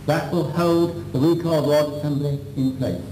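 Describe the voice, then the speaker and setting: A man's voice: on the high side, steady tone, normal speed, dark tone, reverberant, slight background noise, poor audio. A man giving a formal, methodical step-by-step instructional demonstration, on an old videotape copy. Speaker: weapons instructor.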